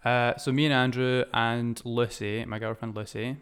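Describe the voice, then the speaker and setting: A man with a clean, smooth voice at a medium pitch hosts a relaxed conversational podcast. He speaks informally, often stopping mid-thought to correct himself and start again. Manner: informal, halting, self-correcting. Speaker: podcast host. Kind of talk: casual conversational podcast chat.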